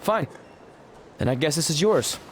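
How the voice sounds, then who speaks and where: A man's voice: on the high side, animated, measured pace, slight background noise. A man playing a cocky, paranoid, goofy young wrestling champion in a video game, boastful and nervously secretive. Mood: cocky, defensive. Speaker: voice actor in a video game cutscene. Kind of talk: comic video game dialogue acting.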